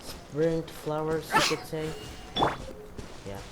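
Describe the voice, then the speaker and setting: A man's voice: fairly high pitch, takes it slowly, very noisy. A man giving casual, rambling gameplay commentary with jokey asides. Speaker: gamer, channel host. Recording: loud game music over voice.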